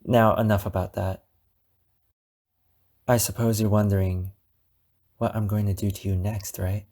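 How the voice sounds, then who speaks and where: A man acts out an obsessive male captor in an intimate ASMR drama. His voice sits a little low and moves very slowly, with weighty, deliberate pauses. He sounds wounded and possessive, lovesick with a menacing edge. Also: little pitch movement, a little mellow. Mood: calm, coaxing. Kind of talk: ASMR roleplay monologue addressed to the listener.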